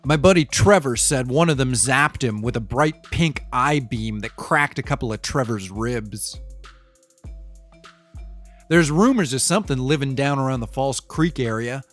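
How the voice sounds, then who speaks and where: A man's voice: medium pitch, lively intonation, a little slow, soft background music, close to an everyday voice. A man playing a cynical streetwise city cyclist. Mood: wry. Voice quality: slangy, profane street talk. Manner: rambling, conspiratorial, shifts between wry and fired-up. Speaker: local bike courier interviewed. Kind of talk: audio drama monologue.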